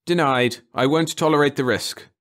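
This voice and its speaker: A man's voice: on the high side, moderate pace, a bit bright, voice pushed up, lightly acted. A man voicing a curt, authoritative aquatic admiral in an audiobook. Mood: curt, stern. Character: stern, commanding aquatic admiral over communicator. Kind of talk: audiobook character voice.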